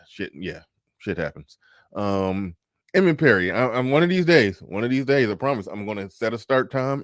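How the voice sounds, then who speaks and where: Laid-back low-voiced man, dry close sound. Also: dry recording. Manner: laid-back, laughs often, drawls. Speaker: livestream host. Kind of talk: casual livestream chat reading comments.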